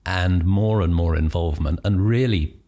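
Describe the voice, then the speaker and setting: Man, British accent, middle-aged, very deep voice, hesitant, reflective podcast guest. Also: moderate pace, mellow. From Northern Ireland. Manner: thoughtful, hesitant, many ums and restarts. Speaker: guest, impact investor. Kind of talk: podcast interview.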